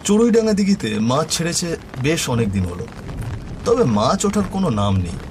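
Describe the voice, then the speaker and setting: A man narrating a scripted horror story in an expressive, dramatic way. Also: on the high side, fairly quick, noisy background. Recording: music bed behind voice.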